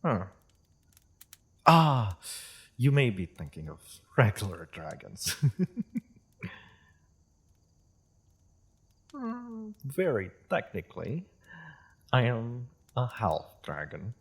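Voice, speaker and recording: A man playing a courteous, wry half-dragon merchant in a fantasy audio roleplay, speaking in a formal, measured, amused manner. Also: medium pitch, huge pitch swings, slightly rough, heavily acted. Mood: amused, explanatory. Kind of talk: scripted fantasy audio roleplay addressed to listener.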